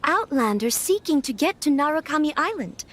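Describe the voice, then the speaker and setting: A woman's voice: high voice, big pitch swings, moderate pace. A formal, earnest young noblewoman. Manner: formal, courteous phrasing, slight nervous stammers. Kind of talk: video game voice acting.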